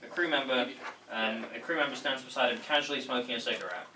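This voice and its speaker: A man's voice: medium pitch, fast talker. A man reading stage directions at a table read, informal and stumbling a little over the script. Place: indoor room. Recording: echoey room with faint noise.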